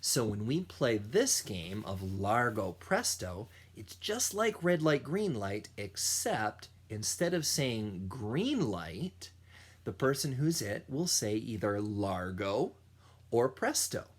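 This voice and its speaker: Man, children's music teacher, storybook read-aloud, very slow deliberate delivery, lively varied pitch. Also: breathy edge. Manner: slow, deliberate storytelling with sung and imitated sounds. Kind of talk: animated picture-book read-aloud for children.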